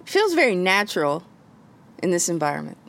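A woman's voice: lowish voice, sing-song, fairly quick, creaky, a bit bright. A woman co-hosting a casual podcast, chiming in with dry, teasing quips. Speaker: podcast co-host. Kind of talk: casual chat. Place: parked car. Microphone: home podcast mic.

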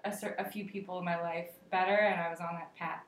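A woman co-hosting a podcast, calmly talking through advice. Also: lowish voice, quick pace, distant mic. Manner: calm, reasoning, gives advice. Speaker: podcast co-host. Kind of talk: casual advice chat. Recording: echoey room, a bit off-mic.

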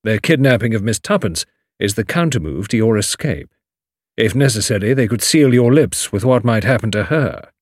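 A man voicing a shrewd, grave older English lawyer in an audiobook, measured, precise and formal. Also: fairly low pitch, lively intonation, husky, no room sound, clean studio sound. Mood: keen, thoughtful. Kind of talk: audiobook character dialogue.

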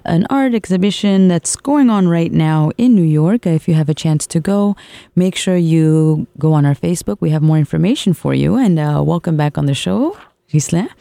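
A radio host with a gravelly, mellow voice, asking questions casually with frequent ums and uhs. The sound is dry and close. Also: mid-range voice, lively intonation, normal speed, no echo. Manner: casual, friendly, many fillers. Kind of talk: radio interview.

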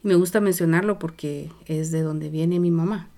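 An older woman with a Guatemalan Spanish accent speaks in a fairly low voice. She tells her story slowly and thoughtfully, pausing, restarting and searching for words as she goes. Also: steady tone, mellow. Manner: slow and searching, many hesitations and restarts. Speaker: interview guest. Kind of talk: reflective personal storytelling.

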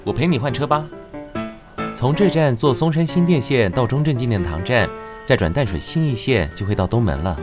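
A man playing a gentle, teasing young male hair stylist in a romantic radio drama, speaking reassuringly. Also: mid-range voice, animated, brisk, close to an everyday voice. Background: music.

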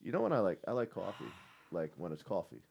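A man with a very deep voice who talks quickly, joking and bantering his way through a casual chat. He sounds like a co-host among friends. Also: big pitch swings, vocal fry, darkish tone, muffled recording.

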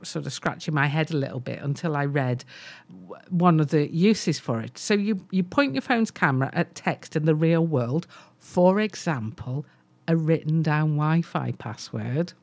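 A woman's voice: sits low, expressive, measured pace, a little mellow. A woman co-hosting a business podcast, explaining tech features enthusiastically and thinking aloud with restarts. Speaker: co-host. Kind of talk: casual business podcast chat.

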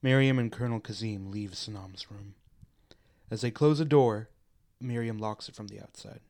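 A man's voice: on the low side, moderate pace, a little mellow. A man reading scripted stage directions and scene descriptions as the narrator of a radio play.

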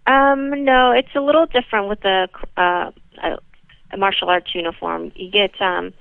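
A woman receptionist heard down a narrow, muffled telephone line. Her voice has a clear creak, and she explains things patiently with some hesitation. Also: medium pitch, fairly even intonation, moderate pace, no echo. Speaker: karate school receptionist. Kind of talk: explaining classes on a phone call.

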